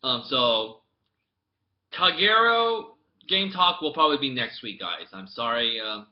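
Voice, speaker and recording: A man's voice: medium pitch, expressive, a little slow. Rambling young man on a dull laptop mic. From United States. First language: English. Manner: rambling storytelling with many restarts, swinging from mumbled asides to loud outbursts. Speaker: vlogger. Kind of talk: casual chat to camera. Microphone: laptop webcam mic.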